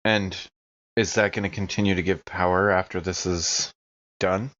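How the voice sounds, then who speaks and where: Tabletop game role: male player as sober, practical man, low-pitched, matter-of-fact, on slightly muffled audio. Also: fairly even intonation, normal speed, fairly bright tone. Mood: practical, probing.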